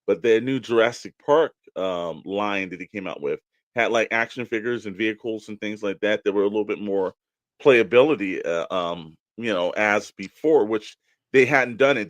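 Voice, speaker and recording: A man with a fairly low voice talks as a podcast co-host. He rambles through his opinions in a loose, colloquial style, and the sound is close and dry. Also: no echo. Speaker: podcast co-host discussing collectible toys. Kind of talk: casual unscripted opinion chat.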